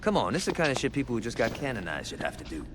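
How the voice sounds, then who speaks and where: A man's voice: medium pitch, sing-song, rapid-fire, lightly acted. Brash gangster over noisy ambience acted by a man. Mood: impatient, griping. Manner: profane, slangy, laid-back street talk. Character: brash, impatient, violent male gangster lieutenant. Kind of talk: video game cutscene acting.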